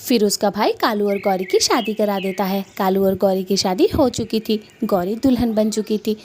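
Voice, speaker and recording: Female narrator, mid-pitched, scripted cartoon storytelling, faint background noise, bird sounds. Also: moderate pace, darkish tone.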